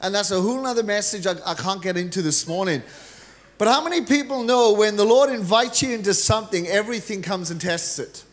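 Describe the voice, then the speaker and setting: A middle-aged Welsh man preaching a lively, joking sermon from a large church stage into a handheld mic. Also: sits high, brisk. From Wales. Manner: energetic, joking preacher, gestures freely while pacing the stage. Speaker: guest preacher.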